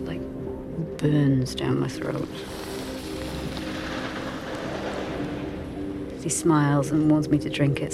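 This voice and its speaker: A woman's voice: low voice, gravelly, full character voice. An actress playing a wistful married woman in her forties in an audio drama, speaking in a hesitant, confiding, intimate way. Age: 40s. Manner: hesitant, confiding, self-conscious.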